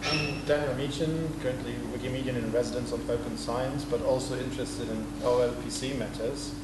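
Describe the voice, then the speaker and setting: Male participant introducing himself, flat intonation, echoey room with loud noise. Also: medium pitch, a little fast. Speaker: workshop participant. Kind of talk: self-introduction at a workshop. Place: classroom-like room indoors.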